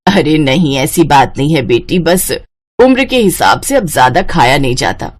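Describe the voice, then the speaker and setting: A woman's voice: low voice, expressive, normal speed, pitched below the performer's own voice. A woman voicing an elderly, motherly mother-in-law who dotes on her daughters in a family story. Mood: fond.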